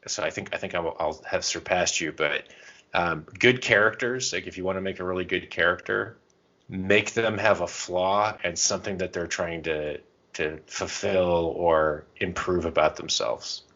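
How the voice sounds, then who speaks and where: Bright, low-voiced man chatting casually. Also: steady tone, normal speed, lo-fi sound. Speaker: podcast co-host. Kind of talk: casual chat about tabletop roleplaying and comics.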